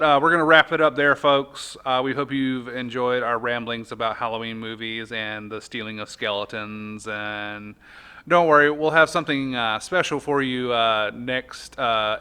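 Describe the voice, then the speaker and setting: A man's voice: mid-range voice, pushed and bright, smooth. A man hosting a podcast in a casual, chatty style. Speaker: podcast host. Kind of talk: casual chat.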